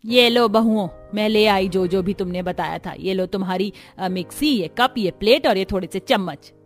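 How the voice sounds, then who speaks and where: A woman voicing a stingy, bossy older mother-in-law in a family cartoon, with a brisk, scolding manner. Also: mid-range voice, faint music behind, voice pushed down, close to an everyday voice. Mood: bossy, impatient. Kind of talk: Hindi family cartoon storytelling.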